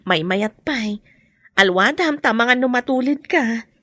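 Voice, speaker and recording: A woman playing a gentle, burdened mother in an Ilocano radio drama. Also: a little high, expressive, unhurried, no echo. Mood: gentle, evasive.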